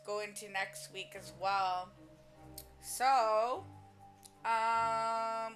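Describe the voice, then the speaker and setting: A woman's voice: on the high side, bright, forceful tone. A woman streamer chatting casually to her live audience while she plays a video game. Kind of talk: casual livestream chat over gameplay. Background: game music.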